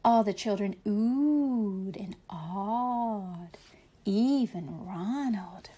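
A woman's voice: medium pitch, very slow, warm tone. A woman reading a picture book aloud expressively for young children, explaining things simply like a teacher.

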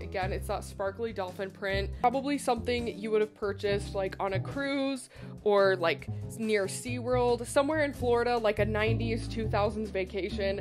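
Bubbly young woman vlogging a thrift haul. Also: on the high side. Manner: bubbly, chatty, lots of "like" fillers. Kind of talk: casual try-on haul chat. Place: bright indoor room with shelf backdrop. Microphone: handheld recorder. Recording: background music under voice. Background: background music.

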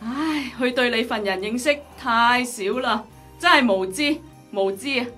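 A woman's voice: a little high, unhurried, pushed and bright. A woman with a Hong Kong Cantonese accent playing a cheeky, cheerful young flower seller in a radio drama. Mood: teasing. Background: music.

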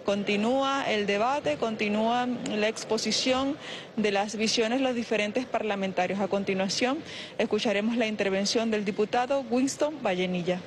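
A woman gives a smooth, scripted voice-over announcement during a live broadcast. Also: medium pitch, normal speed, noisy background. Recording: crowd murmur behind the voice-over.

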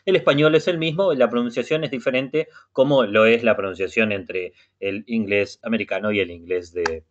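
A man from Uruguay with a Uruguayan Spanish accent, leading an online Spanish conversation class, speaking slowly and patiently and repeating words for learners. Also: lowish voice. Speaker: language class facilitator. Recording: muffled web meeting audio.

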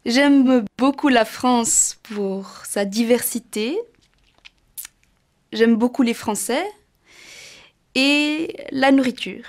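A woman's voice: a little high, drawn-out words, long pauses. A woman in her twenties from Switzerland talking informally to camera about herself. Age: 20s. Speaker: drama student presenting herself. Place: indoor studio.

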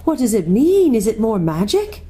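Storybook older sister, woman reader: nervous girl, raised pitch, hesitant, questioning, worried delivery. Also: big pitch swings, normal speed, mellow. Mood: upset.

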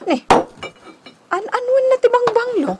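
A woman acting as a questioning Filipino wife in a radio drama. Her voice is extremely high and rises and falls in a lively way. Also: unhurried, heavy background noise. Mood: puzzled, questioning. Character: wife questioning a friend about her husband.

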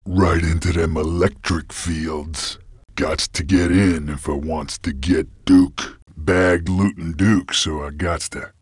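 An actor playing a gruff, laconic creature bounty hunter in a video game, with a gravelly voice and terse, folksy cowboy drawl. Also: male voice, deep voice, steady tone, takes it slowly, no echo, clean studio sound, full character voice. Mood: gruff, matter-of-fact. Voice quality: gravelly, husky. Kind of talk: video game character voice lines.

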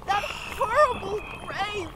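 A woman's voice: very high-pitched, expressive, slow pace, full character voice. A woman playing a terrified, pleading heroine in a retro radio horror drama, breathless and melodramatic. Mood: fearful, pleading. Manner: breathless, melodramatic, repeating herself. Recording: loud background noise.

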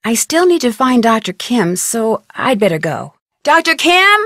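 An inquisitive young female sleuth from an adventure video game, with an American accent. Her voice sits fairly high and is recorded dry and close. She is polite but persistent, with earnest, expressive delivery. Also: measured pace, no room sound, full character voice. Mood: polite, curious, then calling out. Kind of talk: scripted adventure game dialogue.

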